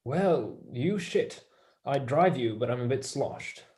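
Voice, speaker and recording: Young male scientist for stage drama, played by a man; earnest, explanatory, animated pitch. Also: takes it slowly. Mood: concerned, dry. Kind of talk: staged play reading.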